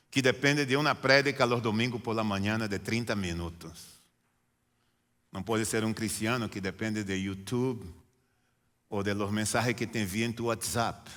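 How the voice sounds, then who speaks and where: Man, native Portuguese speaker with a Brazilian accent, pastor preaching in a reverberant church sanctuary; emphatic repetitions. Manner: preaching with repetition and swings from loud emphatic lines to quiet low ones. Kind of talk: sermon.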